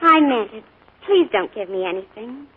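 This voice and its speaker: A woman's voice: on the high side, lively intonation, normal speed, narrow, tinny audio, close to an everyday voice. An actress playing an earnest, dreamy teenage girl in a 1940s radio play, eager and breathless. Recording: scratchy 1940s radio broadcast.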